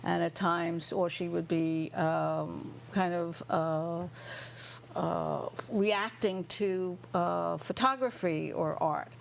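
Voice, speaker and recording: Slow, gravelly woman, thoughtful guest. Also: on the low side, fairly even intonation, slight background noise. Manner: slow and thoughtful, many fillers. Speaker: guest artist and writer. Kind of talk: interview.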